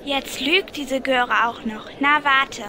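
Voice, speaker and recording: Snobbish heiress for radio play, played by a woman: high, indignant female voice over crowd murmur. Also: fairly even intonation, slow. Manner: snobbish, accusing. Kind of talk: audio drama acting.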